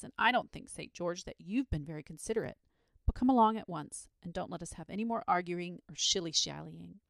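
A woman's voice: fairly low pitch, gravelly, fairly bright tone, no echo. A woman voicing a sleepy, self-satisfied male dragon in a storybook, jovial and bumbling, with clipped, murmured or blustering speech. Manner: drowsy clipped murmuring, blustering when roused. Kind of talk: storybook character voice.

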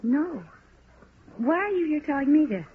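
A woman's voice: high-pitched, expressive, moderate pace. Wary woman in her thirties, clipped and suspicious, vintage radio serial with hiss. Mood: guarded, irritated. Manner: wary, curt. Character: plain, guarded, curt woman in her thirties. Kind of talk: 1950s radio drama acting. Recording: muffled, noisy vintage radio recording.

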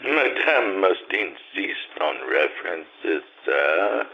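A man playing a slow, formal butler. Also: sits low, sing-song, vocal fry, heavily acted. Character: slow, formal male butler at a medium's house. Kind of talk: live old-time radio play. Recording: tinny, phone-like with faint hiss.

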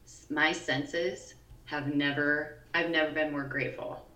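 A woman in her forties with a deep, scratchy voice, co-hosting a podcast in casual chat, talking haltingly with pauses and ums. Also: fairly even intonation, bright, forceful tone, far from the mic. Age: 40s. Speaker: podcast co-host. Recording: echoey room, voice a bit off-mic, faint noise.

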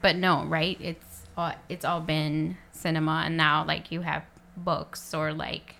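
A young woman co-hosting a podcast in casual chat, giggly and animated. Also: low voice, measured pace, vocal fry. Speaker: podcast co-host.

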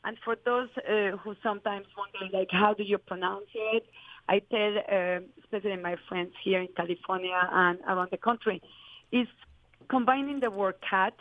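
A woman's voice: mid-range voice, steady tone, normal speed, no echo. Woman over a tinny line. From Colombia. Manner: earnest, flowing explanations with many fillers. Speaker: winemaker. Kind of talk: interview answers.

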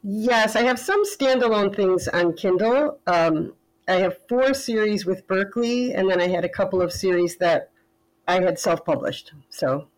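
A woman author talking as a podcast guest, thoughtful and measured, now and then pausing on fillers. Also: medium pitch, a little mellow, rough recording. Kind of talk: casual interview chat.

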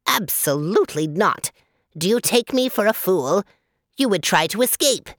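A woman voicing a huge, bossy male condor who holds a girl captive, speaking in a gruff, suspicious and threatening way. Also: mid-range voice, lively intonation, normal speed, dry recording, studio quality, lightly acted.

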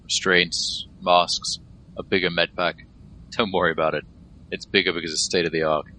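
A man playing a gruff, no-nonsense team leader in an audio drama, terse and deadpan. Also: low-pitched, moderate pace, a little hiss or hum, poor audio. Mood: curt, commanding.

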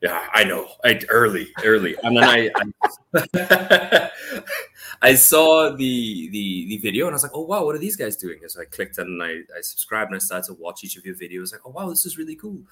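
A man's voice: on the low side, big pitch swings, normal speed, sharp tone. A middle-aged man from Trinidad and Tobago with a Trinidadian accent, a podcast guest chatting casually and rambling with many restarts. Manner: rambling, full of restarts and fillers.

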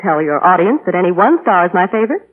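A woman's voice: on the low side, sing-song, quick pace. A woman playing a chirpy, coy young woman in a scripted 1940s radio advertisement. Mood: perky, coy. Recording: tinny, rough 1940 radio broadcast.

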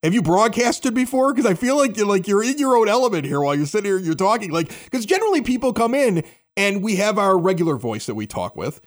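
Animated male podcast host, higher-pitched voice. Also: fast talker. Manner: animated radio-announcer delivery. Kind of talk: scripted local news reads and casual bar chat. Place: at a bar.

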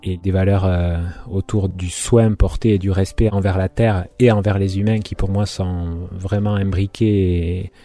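A man in his thirties from Béarn, speaking in a deep, warm, relaxed voice. He talks about his work as a market gardener in an easygoing interview manner. His speech is informal, with frequent pauses to gather his thoughts. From Béarn, France. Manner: informal, thinks aloud with frequent hesitations.

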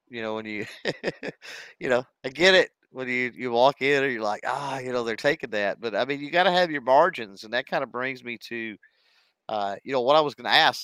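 A man's voice: medium pitch, fairly bright tone, smooth, dry recording. A man hosting a casual interview podcast, asking rambling questions in several parts.

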